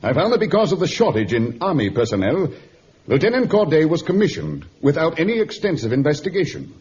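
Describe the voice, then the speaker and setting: An actor playing a grave, courteous sea captain, formal and deliberate, in a vintage radio adventure serial. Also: male voice, on the high side, huge pitch swings, a little mellow. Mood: composed, grave. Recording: muffled, faintly noisy old radio recording.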